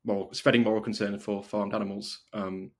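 Male interview guest from North Wales, informal and conversational, husky voice with vocal fry. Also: lowish voice, little pitch movement, a little fast. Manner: informal, frequent "like" as filler. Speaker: podcast guest, video maker and documentary filmmaker. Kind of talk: casual interview chat.

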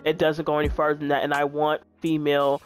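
A woman in her twenties with a deep voice, chatting candidly to her viewers while she plays a video game. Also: monotone, fast. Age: 20s. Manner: frank, chatty, talks straight to viewers. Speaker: gaming YouTuber.

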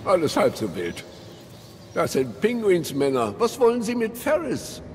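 A loyal old butler played by a man speaks with dry, formal politeness. His intonation is lively, over clear background noise in an audio drama. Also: high-pitched, unhurried, full character voice. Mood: composed. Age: older. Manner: polite, dryly understated. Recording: noisy background ambience.

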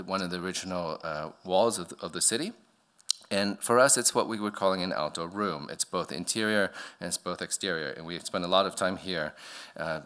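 A middle-aged man with a very low, bright-edged voice lectures at a podium mic in a darkened auditorium. He speaks with frequent pauses, ums and restarts as he walks through his slides. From born in Vietnam, raised in the US. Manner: hesitant, many fillers and restarts. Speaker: architect giving a talk. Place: dark auditorium stage at a podium.

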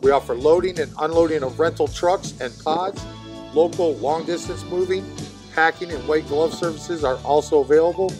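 Man, slightly high voice, steady ad read over music. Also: normal speed. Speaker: advertiser. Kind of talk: read commercial.

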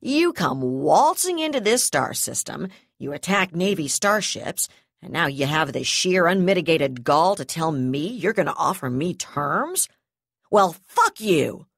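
A woman's voice: on the low side, husky, no echo, studio quality, full character voice. A woman voicing a snarling, furious brigadier. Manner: shouting, snarling, swearing. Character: furious foul-mouthed female brigadier, sneering and harsh.

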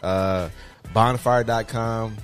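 A man co-hosting a podcast in casual chat, talking in an informal, halting, rambling way. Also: on the low side, steady tone, faint music behind. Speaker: podcast co-host.

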